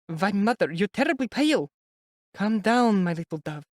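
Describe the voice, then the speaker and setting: A reader doing a calm, firm young woman. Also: mid-range voice, a little fast, no echo, clean studio sound, pitched above the performer's own voice, natural voice. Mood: reassuring. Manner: steady, reassuring.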